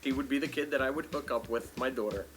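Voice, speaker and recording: Male shop owner for reality interview, blunt talker, mid pitch, prominent guitar bed. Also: quick pace, fairly bright tone. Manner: blunt, swearing. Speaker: tattoo shop owner introducing the crew. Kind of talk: reality show interview talk. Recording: music behind the voice. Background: guitar music.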